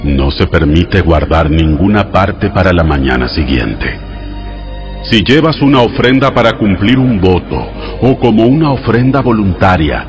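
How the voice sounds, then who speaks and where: A man narrating an audio Bible in a solemn, measured, formal and instructive way over background music. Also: sits low, lo-fi sound. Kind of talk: solemn scripted scripture reading.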